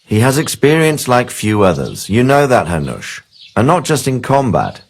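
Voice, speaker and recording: An actor playing an authoritative middle-aged nobleman in a video game, composed, measured and firm as he gives orders. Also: mid-range voice, huge pitch swings, gravelly, heavily acted. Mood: firm, persuasive.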